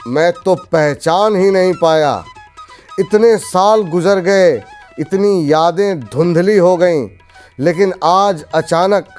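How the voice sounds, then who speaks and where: A man's voice: fairly high pitch, a little mellow. A man playing a humble, generous old village eatery owner in a cartoon story, tender and hospitable. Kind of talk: Hindi cartoon moral story dialogue.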